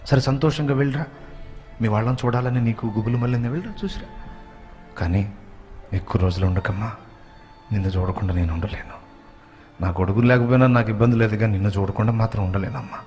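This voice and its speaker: A gentle, trusting old mother-in-law in her sixties or more, as a male storyteller puts her on. She speaks quietly and low, tender and unhurried. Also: steady tone, soft background music, lightly acted.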